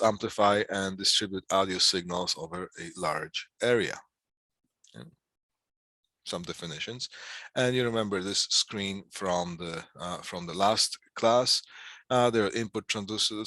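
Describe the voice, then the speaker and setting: A man with a fairly low but bright, forward voice lectures informally to a class. He works through definitions in short, halting phrases and adds explanatory asides. The sound is close and very dry. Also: no echo. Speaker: instructor giving a class on PA systems.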